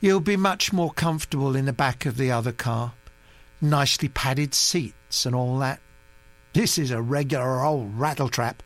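A reader voicing a calm, detached young woman who speaks in a matter-of-fact, offhand way, for an audiobook. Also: mid-range voice, no room sound, voice pushed up, lightly acted. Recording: dry recording with mains hum.

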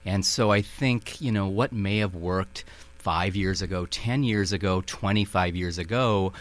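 A man's voice: sits low, unhurried. A middle-aged man with a Minnesota accent, a museum director talking through his answers in a radio interview with lots of fillers and hedges. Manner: thoughtful, many fillers and hedges.

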